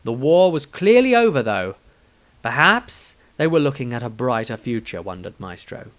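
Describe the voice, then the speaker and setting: A man reading a fantasy story as a dramatic audiobook narrator, with theatrical, deliberate emphasis. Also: medium pitch, very animated.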